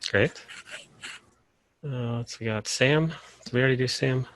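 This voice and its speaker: A man from Colorado leading a casual online class over a video call, relaxed and full of "uh" fillers. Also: mid-range voice, moderate pace, no echo, poor audio. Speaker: course instructor.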